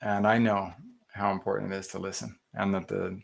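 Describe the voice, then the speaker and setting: Low gravelly dude hosting a podcast, earnest and animated, lots of ums. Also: male voice, fairly quick. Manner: earnest, animated, with many fillers and quoted self-talk. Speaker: podcast host. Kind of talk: casual reflective chat.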